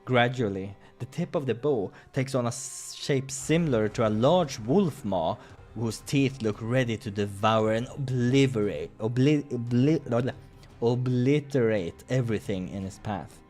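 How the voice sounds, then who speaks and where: Man, medium pitch, visual novel narration, expressive storytelling, casual commentary, soft background music. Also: unhurried. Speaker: playthrough host. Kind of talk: reading a visual novel aloud with casual asides.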